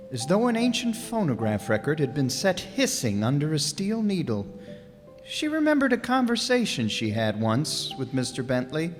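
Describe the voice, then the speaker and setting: A man narrating a radio play in a literary, dramatic storytelling style. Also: a little high. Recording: hall reverb, clear music underneath.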